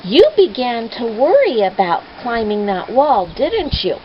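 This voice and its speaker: Storybook role: kind male shepherd, read by a woman, unhurried, gentle, patient and reassuring. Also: a little high, very animated. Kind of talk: children's storybook character voice. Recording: dull noisy lo-fi home recording.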